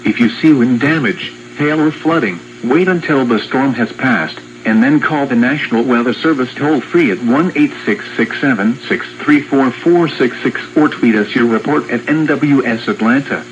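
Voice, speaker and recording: A man's voice: medium pitch, normal speed, few pauses, muffled recording, slight background noise. Male text-to-speech voice, synthetic, emergency weather broadcast, evenly paced reading, radio speaker playback. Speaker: automated weather alert voice.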